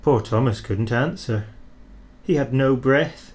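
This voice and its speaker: Guy reading a kids train story, animated storyteller voice, middle pitch. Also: slow pace. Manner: expressive, animated storybook narration.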